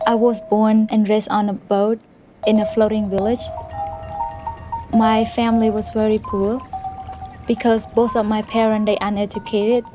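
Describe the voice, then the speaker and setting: A young woman, giving a soft, steady personal testimony in a studio interview. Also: medium pitch, a little slow, pauses often. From Cambodia. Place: indoor studio interview set. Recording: music underneath.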